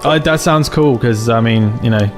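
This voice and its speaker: A man's voice: medium pitch, normal speed, faint music behind. A man playing a tabletop game, joking and speaking in character. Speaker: player. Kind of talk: casual tabletop roleplay.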